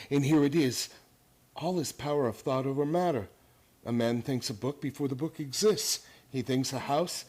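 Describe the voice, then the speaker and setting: A man's voice: fairly high pitch, darkish tone, close to an everyday voice. An actor playing an intense, idealistic young psychology teacher in a radio drama, formal and earnest as he lectures. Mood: fervent. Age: young adult.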